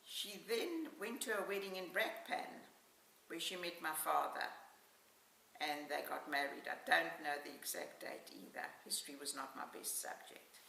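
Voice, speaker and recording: An older woman in her seventies with a South African accent, reminiscing about her life in an unhurried, matter-of-fact way as an interviewee. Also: low-pitched, gravelly, sharp tone. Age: 70s. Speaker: oral history interviewee. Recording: echoey room, distant mic, faint hiss.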